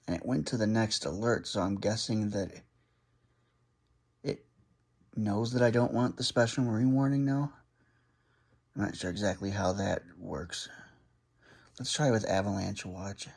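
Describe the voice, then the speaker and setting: A man with a fairly low voice talks through setting up a gadget in a casual, unscripted way. He thinks out loud, reading items off one by one and adding his own short comments as he goes, like a hobbyist vlogger. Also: measured pace, creaky, long pauses, slightly rough. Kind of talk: casual hands-on unboxing and setup narration.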